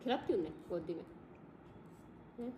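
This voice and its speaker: A woman's voice: medium pitch, animated, normal speed, lo-fi sound, background noise. A woman, insistently urging her son in homely family chat. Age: 50s. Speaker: vlogger's mother. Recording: echoey room.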